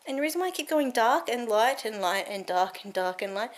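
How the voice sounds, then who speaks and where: A woman's voice: on the high side, animated, a little fast. A woman in her thirties chatting to a handheld camera at home in a rambling, giggly way. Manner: rambling and giggly, many fillers. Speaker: vlogger. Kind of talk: casual chat to camera. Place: cold room at home. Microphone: handheld camera carried around the room.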